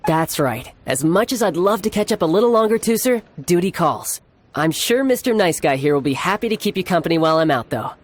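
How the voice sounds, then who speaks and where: A man's voice: high-pitched, expressive, a little fast. A young man playing a breezy, self-assured, politely teasing older brother in a video game. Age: young adult. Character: breezy, smooth-talking young gentleman, doting older brother. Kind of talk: scripted video game character acting. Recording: music behind the voice. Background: game music.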